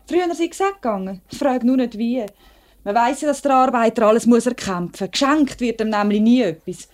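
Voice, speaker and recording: A woman acting as a worker's loyal wife with a slightly high voice and a Swiss German accent. She reasons firmly and earnestly, full of conviction, in the style of a 1950s radio play. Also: lively intonation, brisk, darkish tone, close to an everyday voice.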